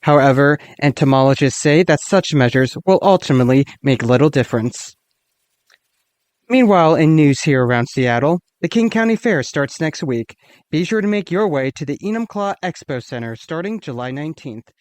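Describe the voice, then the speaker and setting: Sober male news reporter, played by an actor, slightly high voice, close dry sound, measured and formal radio drama read. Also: expressive, no echo, lightly acted. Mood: neutral, informative.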